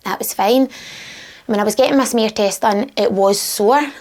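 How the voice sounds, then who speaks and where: A woman's voice: fairly high pitch, normal speed, darkish tone. A Scottish woman in her mid-thirties, a mother of four, telling her own story in a plain, matter-of-fact way. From Scotland. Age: 30s. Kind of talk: personal testimony.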